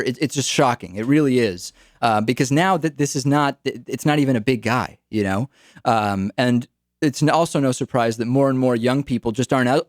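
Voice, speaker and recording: A man's voice: mid-range voice, dry recording. Young male talk radio host, dry studio. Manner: casual, wry, repeats words.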